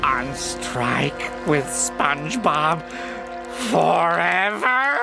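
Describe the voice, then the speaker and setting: A man voicing a jaded, sardonic cartoon squid, nasal and deadpan. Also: on the high side, extremely slow, creaky, exaggerated voice. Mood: weary, sardonic. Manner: deadpan, dry. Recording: music behind the voice.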